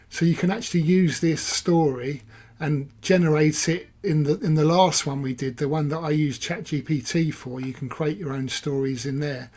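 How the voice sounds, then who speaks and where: A hoarse man, casually talking through a software walkthrough and thinking aloud as he clicks. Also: fairly high pitch. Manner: rambling, self-correcting, thinking aloud. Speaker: software reviewer. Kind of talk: casual screen-recorded walkthrough.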